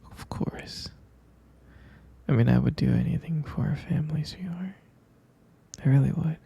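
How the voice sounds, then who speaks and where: A man playing a devoted, soothing expectant father in an intimate ASMR roleplay, murmuring affectionately in a soft, breathy, husky whisper. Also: fairly high pitch, a little hiss or hum. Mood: earnest, soft. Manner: slow, murmuring, reassuring, affectionate.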